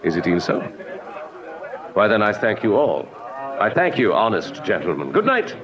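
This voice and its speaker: Blustering lord, swooping low voice. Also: male voice, wildly swooping pitch, normal speed. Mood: jovial. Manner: blustering, commanding. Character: domineering older uncle and lord, genial host, quick to scold. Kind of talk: Shakespearean radio drama acting. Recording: party crowd chatter behind.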